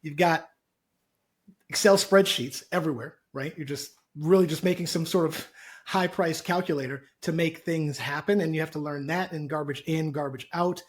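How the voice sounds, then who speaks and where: A man's voice: a little high, moderate pace. A man hosting a casual webinar, upbeat, loose and jokey, speaking over a video call. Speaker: webinar host, co-founder.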